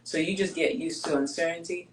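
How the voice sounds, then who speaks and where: Greek-born woman guest with Greek as her first language, candid and measured, roomy echo. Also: lowish voice, background noise. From Greece. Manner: candid, steady, measured with pauses. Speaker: guest, basketball player. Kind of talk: reflective interview storytelling.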